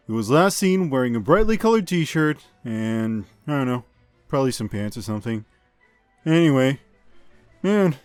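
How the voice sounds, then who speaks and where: A man playing a chipper, rambling amusement park news host, delivering absurd comedic news in an offhand deadpan. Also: mid-range voice, dry recording, natural voice. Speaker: podcast host. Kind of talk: scripted comedic news monologue.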